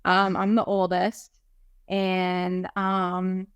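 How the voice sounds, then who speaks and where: A woman's voice: fairly low pitch, completely flat delivery, drawn-out words, clean tone, no room sound. A woman from Georgia who works in children's ministry, telling her background upbeat and chatty as a podcast guest. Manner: upbeat, chatty with many likes. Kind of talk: casual interview chat.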